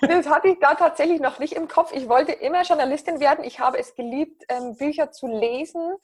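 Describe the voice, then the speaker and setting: A woman in her thirties with a Bavarian accent, chatting as a podcast guest in a relaxed, upbeat way. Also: high voice, fairly quick. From Bavaria, near Passau. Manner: chatty, many fillers, upbeat. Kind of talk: casual interview chat.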